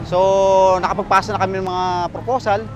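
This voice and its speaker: A man's voice: high-pitched, little pitch movement, fairly quick, lo-fi sound. A Filipino man, a union worker, answering in a street interview. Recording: noisy street with vehicles.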